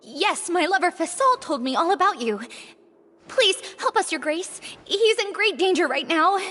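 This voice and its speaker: A woman's voice: very high voice, sing-song, normal speed, fairly bright tone, in a large hall, a little hiss or hum, full character voice. An actress voicing a frightened young woman who pleads anxiously and apologizes.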